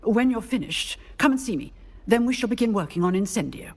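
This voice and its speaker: An actress playing a strict female magic professor with a crisp, authoritative manner. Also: a little high, animated, fairly quick, big-room sound. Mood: brisk. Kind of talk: game cutscene acting.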